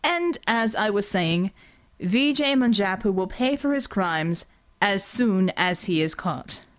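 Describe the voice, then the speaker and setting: A woman voicing a smooth-talking male boss who orates slowly like a politician, in an audiobook. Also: mid-range voice, animated. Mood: grandiose, measured. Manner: slow, politician-like oratory.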